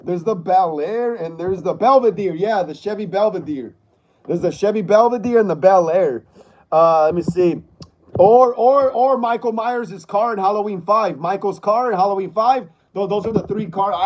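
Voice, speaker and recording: A man's voice: high-pitched, a little mellow, rough recording. A man in his thirties hosting a casual livestream, reading viewer comments aloud in a laid-back way and often stammering and repeating himself. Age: 30s. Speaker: livestream host.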